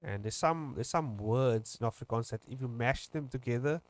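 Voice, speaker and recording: A man's voice: mid-range voice, normal speed, dry recording, soft background music. A young South African man with a South African English accent, chatting casually on a livestream while he draws, rambling with lots of fillers and restarts. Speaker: webcomic streamer.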